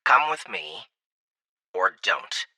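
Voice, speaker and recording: Harsh, gravelly alien commander. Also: male voice, lowish voice, sing-song, slow pace, pushed and bright, no room sound, studio quality, full character voice. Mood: tense command. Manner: terse, commanding. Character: stern, menacing alien military commander. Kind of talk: audiobook character acting.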